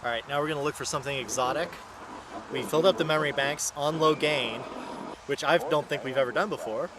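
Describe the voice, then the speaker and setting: A man's voice: on the high side, fast, noisy background. A man hosting a casual on-location vlog outdoors, commenting on a hands-on experiment. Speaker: host running a drone antenna experiment.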